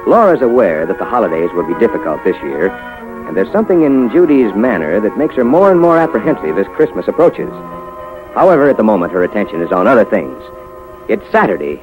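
A man's voice: lowish voice, low-quality recording. American man, vintage radio announcer, polished, emphatic, widely swinging intonation, music behind. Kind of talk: 1950s radio announcing, scripted narration.

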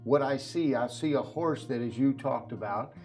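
A middle-aged man speaks as an interview guest in an ordinary mid-range voice. He explains earnestly, building long sentences with halting phrasing. Faint guitar music plays behind him. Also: medium pitch, a little mellow. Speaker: professional farrier, interview guest. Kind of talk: explanatory interview talk about horse nutrition products. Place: indoor video set at a display table.

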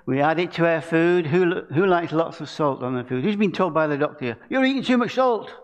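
An older man giving an informal sermon from a lectern in a church, chatting and asking the congregation questions. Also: medium pitch. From England. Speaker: preacher. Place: church hall stage at a lectern.

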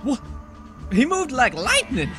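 A man's voice: extremely high pitch, wildly swooping pitch, slow, bright, forceful tone, soft background music, heavily acted. A man voicing a pompous, easily flustered Old West marshal in a theatrical fan comic dub. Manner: grandiose, theatrical.

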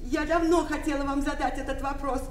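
High-voiced, theatrical elderly lady played by an actress. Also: steady tone, a little fast, natural voice. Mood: chatty, nostalgic. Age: older. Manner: effusive, dramatic, nostalgic. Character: theatrical, sentimental elderly ex-performer, chatty lady smoker. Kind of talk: Soviet-era radio play acting. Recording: roomy, distant, hissy old radio recording.

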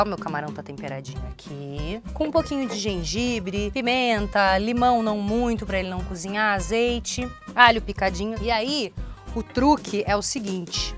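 A woman in her thirties with a Brazilian accent, casually explaining a recipe step by step in an informal, chatty way. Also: mid-range voice. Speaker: cooking show host. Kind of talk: casual cooking demonstration. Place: open-sided thatched outdoor kitchen. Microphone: lapel.

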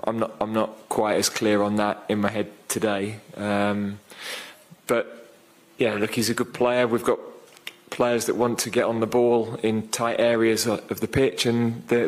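A man in his fifties, a football manager answering questions at a post-match press conference, speaking in a measured, thoughtful way with many fillers and restarts into a desk microphone. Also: lowish voice, poor audio.

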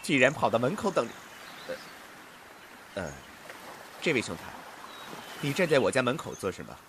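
A man's voice: medium pitch, big pitch swings, normal speed, a bit bright, slight background noise. An actor playing a playful, genteel male immortal in a costume audio drama. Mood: smug, fond.

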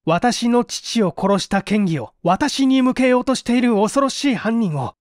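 A man's voice: very high-pitched, expressive, a little slow, no echo, clean studio sound, voice pushed up. A man playing a cool, politely needling young man in a mystery story reading, articulate and pointed. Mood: pressing, ironic.

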